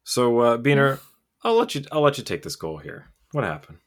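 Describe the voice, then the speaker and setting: A man's voice: medium pitch, huge pitch swings, brisk. A man hosting a casual hockey podcast, joking around, swearing casually and hyping up his guests. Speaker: podcast host. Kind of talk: casual sports podcast chat.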